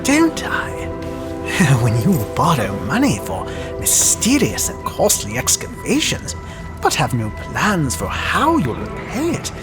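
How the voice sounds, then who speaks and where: A man's voice: a little high, huge pitch swings, slow pace, over music, full character voice. An actor playing a smugly menacing debt collector's henchman in an adventure audio drama, with a formal, needling way of talking. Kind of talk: 1930s-set adventure audio drama acting.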